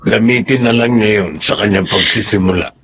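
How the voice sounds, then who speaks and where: A man's voice: lowish voice, fairly quick, vocal fry, crowd noise. A man playing a concerned, kindly and decisive husband in a radio melodrama, questioning and emphatic. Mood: sympathetic. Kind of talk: Filipino radio melodrama acting.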